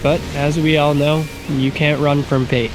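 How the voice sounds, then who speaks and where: A man's voice: a little high, flat delivery, unhurried, music in the background, low-quality recording. A man with a stuffy, nasal voice from a cold, chatting casually and self-deprecatingly as he narrates a video game run over his footage. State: getting sick, congested. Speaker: gaming YouTuber. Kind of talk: casual gameplay commentary voice-over.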